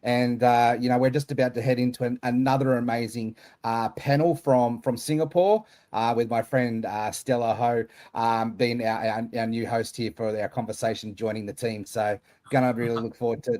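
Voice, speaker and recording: A man's voice: medium pitch, fairly even intonation, moderate pace, few pauses, a little mellow. A man hosting an online summit over a video call, rambling through his words with lots of fillers. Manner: rambling, many fillers and restarts. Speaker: summit host.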